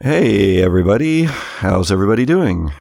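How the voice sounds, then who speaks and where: A man hosting a game podcast, his deep voice gravelly and scraped from coughing, talking off the cuff. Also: sing-song, normal speed, music in the background. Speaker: host and game master. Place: home recording studio.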